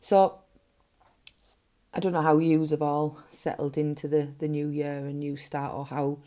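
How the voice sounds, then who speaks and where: A middle-aged woman with a gruff voice, chatting casually as a budgeting vlogger while counting money at a table at home. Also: low voice, flat delivery, measured pace, warm tone. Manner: chatty, counting notes under her breath. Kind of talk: casual cash-stuffing vlog narration. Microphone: overhead camera framing hands.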